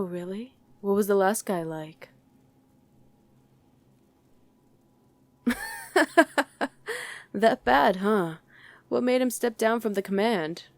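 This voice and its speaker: A woman's voice: mid-range voice, a little mellow, no echo, lightly acted. A woman voicing a condescending, sardonic female demon commander in a chatty ASMR roleplay. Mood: amused, curious. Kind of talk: ASMR roleplay acting addressed to the listener.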